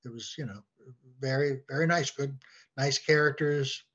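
A man speaking as a podcast guest in a halting, conversational way, often repeating words as he goes. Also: mid-range voice, fairly even intonation, a bit bright, lo-fi sound. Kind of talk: conversational discussion.

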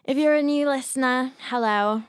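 A woman's voice: high-pitched, normal speed, clear, resonant tone, dry recording, studio quality. A woman co-hosting a podcast, presenting and asking questions in an informal, chatty way. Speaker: podcast co-host. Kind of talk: casual conversational presenting.

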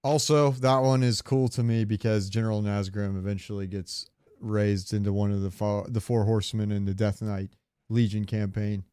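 A man's voice: lowish voice, little pitch movement, moderate pace, dark tone, clean tone, no echo, clean studio sound. A man co-hosting a podcast, chatting about games in an informal, joking way. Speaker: podcast co-host. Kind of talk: casual gaming chat, ranking voice lines.

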